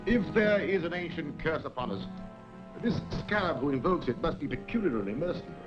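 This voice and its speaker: A man's voice: fairly high pitch, animated, music in the background, close to an everyday voice. Suave, soothing doctor of sinister polish male actor, very melodic delivery, muffled 1940s serial sound. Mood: smooth, soothing. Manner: suave, formal, measured. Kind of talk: 1940s film serial acting. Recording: dull 1940s film soundtrack.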